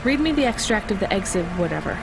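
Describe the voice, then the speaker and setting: A woman's voice: medium pitch, sing-song, quick pace, music in the background, poor audio. A woman playing a young courier driver in a video game, with a dry, skeptical, understated delivery. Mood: dry, curious. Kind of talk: naturalistic scripted game acting.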